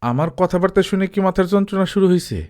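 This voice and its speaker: A man voicing a wealthy, shady village trader in an audiobook story, brazen, calm and domineering, talking colloquially and justifying himself with rhetorical questions. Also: sits high, fast talker, clear, resonant tone, voice pushed up. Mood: persuasive, self-assured.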